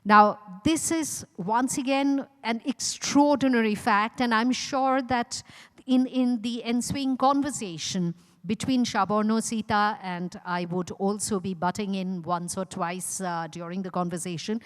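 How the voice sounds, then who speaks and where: A woman with an Indian accent introduces an author as host of a literary event, speaking in a measured, deliberate way with frequent pauses. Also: medium pitch, steady tone. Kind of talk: semi-formal spoken introduction at a literary event. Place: large indoor event hall. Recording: echoey hall with long reverb.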